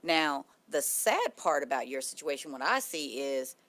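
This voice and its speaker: A woman's voice: low voice, very animated, unhurried, a bit bright. A woman hosting a talk show, emphatic and speaking straight to her listeners. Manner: emphatic, addresses listeners directly.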